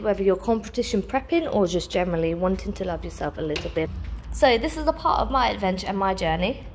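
A woman's voice: medium pitch, slight background noise. Chatty young woman filming in a gym. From England. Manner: chatty, upbeat, with ums and restarts. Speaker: personal trainer. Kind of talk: casual vlog walkthrough. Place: large indoor gym. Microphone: phone held at arm's length. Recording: reverberant gym hall with faint ambience.

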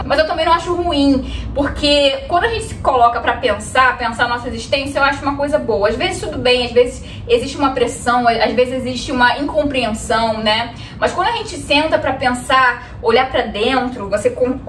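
A young woman in her twenties speaks in a high, bright voice, earnestly talking to the camera and laughing softly now and then. She stands a few steps back from the mic, so her voice picks up an echoey room with faint background hiss. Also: recorded from a distance. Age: 20s. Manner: earnest, gestures constantly, often laughs softly. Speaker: vlogger. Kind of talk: reflective talk to camera. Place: room in front of a bookshelf, indoors. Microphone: camera a few steps away.

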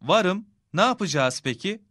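A man voicing an indulgent father of a small boy in a children's cartoon, expressive and theatrical. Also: high-pitched, normal speed, dry recording, studio quality. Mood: amazed, proud.

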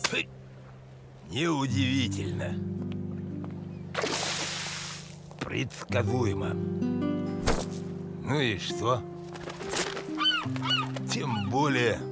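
A man voicing a weary, deadpan, unshockable scruffy harbour pilot in a cartoon. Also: medium pitch. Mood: jaded, unimpressed. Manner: deadpan, drawn-out delivery. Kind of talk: cartoon voice acting. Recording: music behind the voice.

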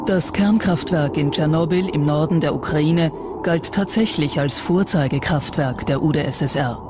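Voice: mid-range voice, noisy background. A documentary narrator reading a scripted narration. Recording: music behind the voice. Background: background music.

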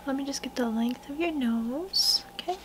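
A young woman speaking softly, often in a whisper, in a gentle, unhurried ASMR personal-attention roleplay, murmuring close to the listener. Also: high-pitched, a little hiss or hum. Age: young adult. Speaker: ASMR artist roleplaying a portrait painter.

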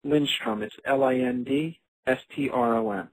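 A man's voice: medium pitch, normal speed. A man with an American accent making a customer inquiry in a recorded phone call. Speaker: caller.

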